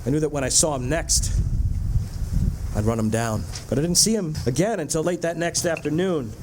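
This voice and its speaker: A man's voice: on the high side, normal speed, noisy background, lightly acted. A man with an American accent playing an anxious, haunted driver in a radio drama, earnest and uneasy. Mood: brooding, tense. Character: haunted, anxious male motorist narrating his road trip. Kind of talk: dramatic first-person radio drama narration and dialogue.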